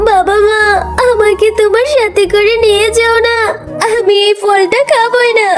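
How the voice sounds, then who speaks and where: Woman as a tiny, very high-pitched orphan fox girl. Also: flat delivery, moderate pace, faint music behind. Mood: sad. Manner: pleading, childlike. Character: meek, wronged orphan girl fox, sweet-natured. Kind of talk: children's cartoon story acting.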